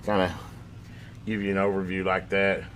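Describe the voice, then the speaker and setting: A man's voice: sits low, normal speed. A man hosting a casual hands-on video demo, explaining in a folksy, easygoing, rambling way inside a metal building. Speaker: host of a radio repair channel. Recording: noisy room, steady background hum.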